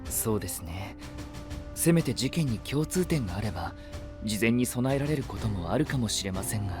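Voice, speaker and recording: Man playing a low-voiced, polite young knight. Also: expressive, normal speed, over music, natural voice. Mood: thoughtful. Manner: formal, careful, courteous. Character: polite, studious, level-headed young male knight. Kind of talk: Japanese anime audio drama acting.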